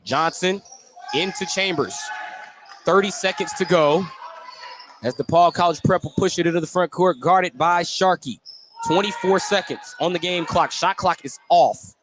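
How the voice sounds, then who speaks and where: A man's voice: high voice, a little slow. A man calling live basketball play-by-play for radio from a noisy indoor gym. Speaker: play-by-play announcer. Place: indoor school gymnasium. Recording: noisy gym ambience, bouncing balls.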